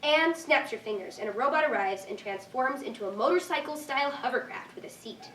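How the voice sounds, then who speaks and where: A woman's voice: sits high, lively intonation, fairly quick, fairly bright tone. A woman reading scripted stage directions as narrator at a staged reading. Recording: distant echoey room, faint noise.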